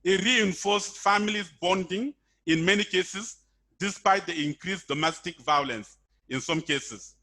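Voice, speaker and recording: A man with a bright, forceful voice sits a little high in pitch. He speaks as a presenter, delivering prepared points over an online call. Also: unhurried. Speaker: guest speaker, webinar presenter. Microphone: video call.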